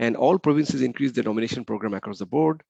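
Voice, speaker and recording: Rapid-fire gravelly man presenting. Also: mid-range voice, dark tone, no room sound. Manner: rapid, repeats key points, asks rhetorical questions. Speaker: webinar presenter. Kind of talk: slide presentation mixing English and Bengali. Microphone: computer mic on a video call. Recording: dull, slightly muffled webinar audio.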